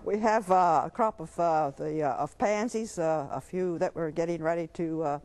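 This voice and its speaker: An older woman, a farm owner, speaking haltingly with many pauses and fillers in a news interview in a greenhouse. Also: sits low, darkish tone.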